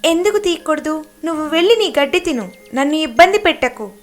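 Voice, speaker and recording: Woman as a stubborn, curt, high-voiced deer. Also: expressive, brisk, faint music behind. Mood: defiant, annoyed. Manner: curt, defiant. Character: stubborn, rude, selfish deer.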